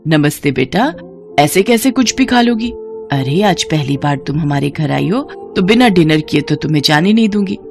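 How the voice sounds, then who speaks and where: A woman's voice: low-pitched, lively intonation, normal speed. Woman as rich auntie mom in a cartoon, bossy but hospitable, insistent, music in back. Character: wealthy, hospitable middle-aged mother running servants.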